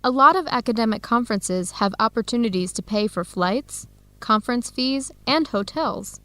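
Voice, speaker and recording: Polite, eager young researcher, higher voice. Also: female voice, lively intonation, normal speed, a bit bright, no room sound. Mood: encouraging, businesslike. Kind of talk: radio drama dialogue for English learners.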